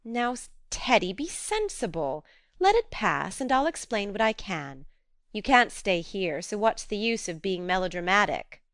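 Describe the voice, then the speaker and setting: A woman's voice: mid-range voice, big pitch swings, fairly bright tone, dry recording. A woman voicing a spirited, frank young woman. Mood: coaxing. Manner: coaxing, brisk and direct.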